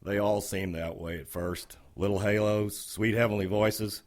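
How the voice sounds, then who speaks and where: An actor playing a sardonic, wisecracking bartender in a noir audio drama. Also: male voice, on the low side, steady tone, unhurried, natural voice. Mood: cynical, teasing. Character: cynical, wisecracking male dive-bar bartender.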